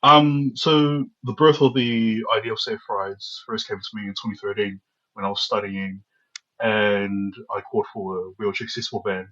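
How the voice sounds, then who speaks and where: A man's voice: on the low side, normal speed, highs cut off. A young man from New Zealand, a podcast guest telling stories casually and acting out what people said. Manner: lively storyteller who acts out his own quotes. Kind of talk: casual storytelling interview.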